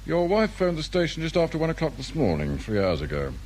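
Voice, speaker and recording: British police inspector, husky, measured, official, lively intonation, radio crime drama. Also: male voice, fairly high pitch. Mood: composed, official. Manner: measured, official, politely persistent. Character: calm, methodical British police detective inspector.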